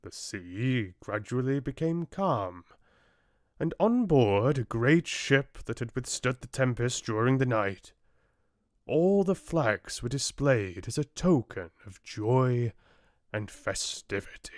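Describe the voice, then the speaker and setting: Guy narrating a fairy tale audiobook, slow and dramatic, expressive, pretty dry sound. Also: medium pitch, no echo.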